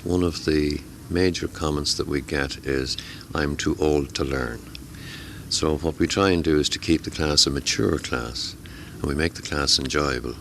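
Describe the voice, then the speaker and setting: A man's voice: extremely low pitch, moderate pace, creaky, fairly bright tone, slight background noise. A middle-aged man who tutors amateur radio classes, talking at a convention in a hesitant way, with many fillers and repeated words. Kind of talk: conversational interview.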